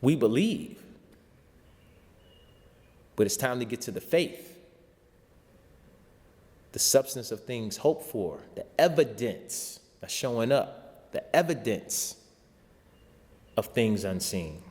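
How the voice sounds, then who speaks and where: Deep-voiced man preaching in heavy reverb. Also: big pitch swings, slow, pauses often, a little mellow. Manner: informal vernacular, rhetorical repetition. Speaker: show host and spiritual teacher. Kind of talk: impassioned spiritual talk, sermon-like exhortation. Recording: long hall-like reverb.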